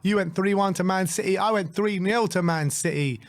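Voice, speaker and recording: A man with a hoarse voice, leading a casual football chat as co-host with enthusiasm. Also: sits high, moderate pace. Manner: enthusiastic, leads the segments.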